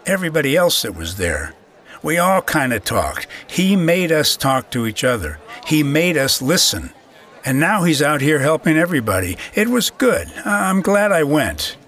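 An actor playing a plain-spoken small shopkeeper interviewed in an audio drama, halting, earnest and folksy. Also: male voice, a little high, big pitch swings, fairly bright tone. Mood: earnest, impressed.

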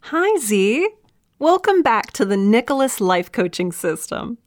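A woman's voice: fairly high pitch, sing-song, unhurried. A woman voicing a relentlessly affirming virtual life coach, giving a polished, upbeat automated greeting in an audio drama. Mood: brightly affirming.

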